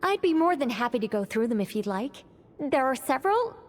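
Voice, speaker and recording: An actress playing a calm, methodical woman scholar in a video game, speaking in a composed, precise, explanatory manner. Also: high voice, a little fast, noisy background. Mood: explaining. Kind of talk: video game cutscene voice acting.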